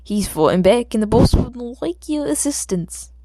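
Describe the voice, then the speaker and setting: Audiobook bit part for a woman: junior policeman, urgent and apologetic, animated delivery, close dry sound. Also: a little high, normal speed, no echo. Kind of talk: audiobook character dialogue.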